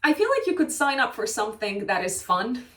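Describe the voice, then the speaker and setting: A woman's voice: on the high side, big pitch swings, brisk, pushed and bright. A woman with a Romanian accent giving a horoscope reading, thoughtful and hedging, with many fillers and restarts. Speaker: astrologer. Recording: echoey room, mic at a distance.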